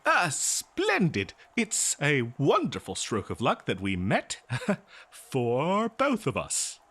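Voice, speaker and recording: A man playing a courteous, eager and nervous scholar in a fantasy audio roleplay, speaking politely and haltingly to the listener. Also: a little high, huge pitch swings, fairly bright tone, a little hiss or hum, exaggerated voice. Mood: excited, fascinated. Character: eager, nervous, courteous male human professor of ancient civilizations.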